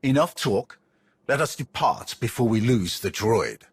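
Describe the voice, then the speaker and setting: A man's voice: mid-range voice, animated, unhurried, dry recording, full character voice. An actor voicing a stern, impatient male warrior in a video game, formal, curt and commanding. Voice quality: raspy. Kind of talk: video game cutscene acting.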